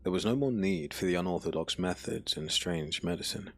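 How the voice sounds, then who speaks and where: Deep-voiced solemn male narrator. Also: a little fast. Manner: solemn, dramatic. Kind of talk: scripted dark fantasy audiobook narration. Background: background music.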